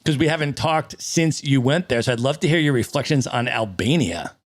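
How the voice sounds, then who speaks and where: A man hosting an interview podcast, mid-pitched and recorded dry with almost no room sound. He sounds eager and encouraging, greets each answer with enthusiasm, and sets up the next question with warm interest. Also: lively intonation, fairly quick, dry recording. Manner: enthusiastic, repeats "that's amazing". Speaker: podcast host.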